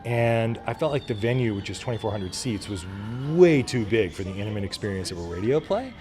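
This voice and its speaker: A man's voice: fairly low pitch, animated, brisk, a little mellow, soft background music. A man speaking informally and with enthusiasm as the guest in a casual interview, explaining his work as a writer and producer.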